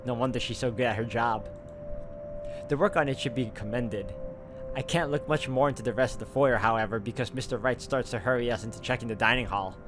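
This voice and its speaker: A man with a mid-range voice reads a visual novel's text aloud at a steady pace, dropping in casual asides. Game music plays loudly behind him. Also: mid-range voice, a little fast. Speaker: gameplay narrator. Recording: voice over loud game music.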